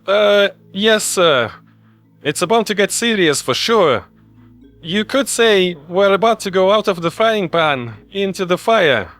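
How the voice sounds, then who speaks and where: A man's voice: high-pitched, lively intonation, unhurried, a bit bright, soft background music, voice pushed up. A man voicing a chipper, joking human male officer in an audio story, casual and chatty. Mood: cheerful, chatty. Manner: casual, cheerful, idiomatic.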